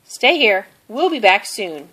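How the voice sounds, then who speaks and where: Missus Boot, unhurried farm mother read by a woman. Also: mid-range voice, big pitch swings, sharp tone, heavily acted. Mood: brisk, instructing.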